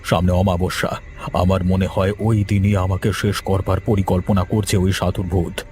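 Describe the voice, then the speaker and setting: Deep-voiced gruff older village headman played by a man. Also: level tone, normal speed, a little mellow, a little hiss or hum, natural voice. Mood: fearful. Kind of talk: cartoon horror drama acting.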